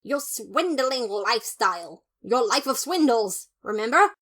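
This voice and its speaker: A woman's voice: a little high, expressive, unhurried, a bit bright, pitched above the performer's own voice. A woman voicing a pompous, upright skeleton brother, formal and exasperated, in a fan audio story. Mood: indignant, scolding. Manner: formal, indignant, emphatic.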